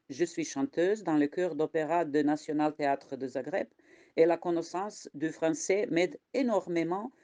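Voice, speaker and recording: Croatian woman, opera chorister; deep mellow voice, calm delivery. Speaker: opera chorus singer. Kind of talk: personal testimony.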